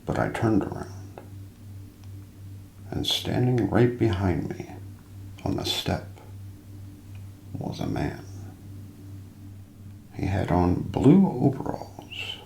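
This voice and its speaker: Slow, gravelly, low-voiced man narrating mysteries. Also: very animated, a little mellow. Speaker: narrator and host of an ASMR role play. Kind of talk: ASMR scripted mystery documentary narration. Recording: roomy echo, light background noise.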